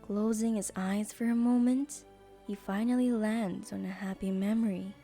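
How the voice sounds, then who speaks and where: A woman's voice: medium pitch, fairly even intonation, normal speed, mellow, faint music behind. A woman narrating an audio drama in a scripted storybook style.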